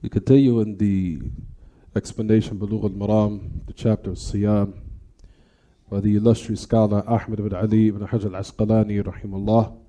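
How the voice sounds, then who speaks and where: A man's voice: fairly low pitch, flat delivery, a little fast, mellow, muffled recording. A man teaching religion in an Islamic lecture, explaining point by point with repetition and weaving Arabic terms and formulas into his speech. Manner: didactic, repeats and restates points.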